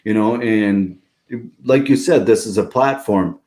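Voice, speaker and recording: A man's voice: on the low side, a little slow, darkish tone. Regular guy chatting on a podcast, earnest, says man a lot. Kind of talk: casual podcast chat.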